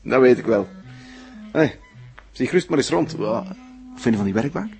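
A Flemish actor playing a proud, self-assured and secretive hobby inventor in a television crime drama. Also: male voice, mid-range voice, expressive, normal speed, vocal fry, over music. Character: proud male hobbyist inventor showing off his workshop. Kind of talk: naturalistic TV crime drama acting.

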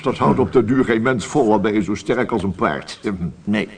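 An actor playing a muddled, sleepy small-town sheriff in a radio comedy, rambling, stammering and repeating himself. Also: male voice, lowish voice, normal speed, vocal fry, a little mellow, husky. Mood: muddled, chatty. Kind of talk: 1980s radio comedy acting. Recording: distant-sounding vintage radio play recording.

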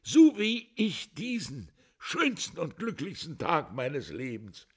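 An actor playing a tipsy, servile gentleman in a radio play, fawning and effusive, with a husky voice. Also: fairly high pitch, huge pitch swings, slow pace, full character voice. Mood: gushing, sentimental. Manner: effusive, fawning, savoring each phrase.

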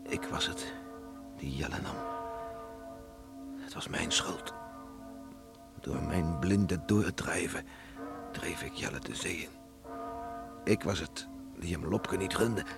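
An actor in a Dutch radio play, playing a guilt-ridden, stubborn village father, speaking in a solemn, halting, heavy-hearted way. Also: sits low, gravelly, full character voice.

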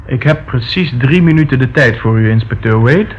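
A man playing a curt, haughty gentleman. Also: medium pitch, moderate pace, slightly rough, lightly acted. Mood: dismissive, evasive. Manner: curt, dismissive. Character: curt, haughty, evasive gentleman under questioning. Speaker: actor in a radio play. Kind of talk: Dutch radio drama acting. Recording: dull, faintly noisy old radio recording.

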